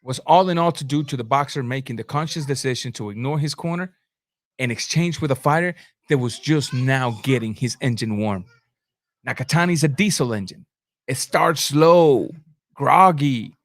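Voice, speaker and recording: A man's voice: medium pitch, expressive, moderate pace. A man hosting a live boxing commentary stream, talking bluntly in informal slang and repeating phrases for emphasis. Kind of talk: live sports commentary, answering chat comments.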